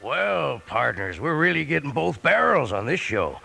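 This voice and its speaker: An actor playing a folksy, chummy cowpoke announcer giving an old-time radio commercial pitch. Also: male voice, big pitch swings, normal speed, vocal fry, loud music behind the voice, full character voice. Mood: chummy, upbeat. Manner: folksy, chummy, drawling slang.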